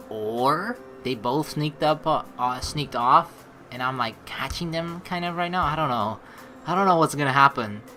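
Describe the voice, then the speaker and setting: A woman's voice: a bass voice, pushed and bright, slight background noise. A young woman doing playful, slangy let's play commentary and reading game narration aloud.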